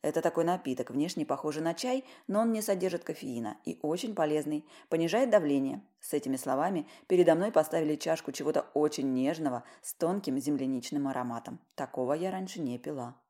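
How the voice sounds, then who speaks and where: Audiobook narrator, female, low register, expressive first-person storytelling with a wry, ironic edge. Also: fast talker, few pauses.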